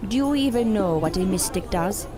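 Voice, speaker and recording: A woman playing a contemptuous, cryptic circus mystic in an audio drama, speaking in a deliberate, theatrical and cutting way. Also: mid-range voice, lively intonation, over music, crowd noise, lightly acted. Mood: scornful.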